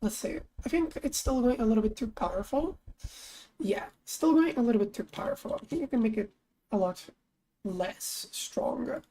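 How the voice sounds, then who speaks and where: Mellow-toned young woman streamer chatting. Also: normal speed, a little hiss or hum, rough recording. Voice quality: voice lower than her usual after waking. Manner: chatty, thinks aloud, bursts of high excitement. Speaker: streamer and artist. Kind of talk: casual live-stream chat while working.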